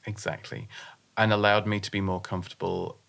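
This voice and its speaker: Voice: sits low, fairly even intonation, fairly quick, vocal fry, a bit bright. A podcast guest in casual conversation, frank and opinionated, explaining things at length.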